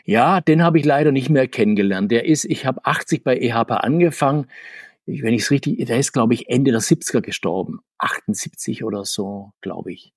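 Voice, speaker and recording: Husky middle-aged man reminiscing. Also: mid-range voice, lively intonation, fairly quick, no room sound, studio quality. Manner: rambling, self-correcting, reflective. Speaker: guest, former comics publishing manager. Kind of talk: casual interview.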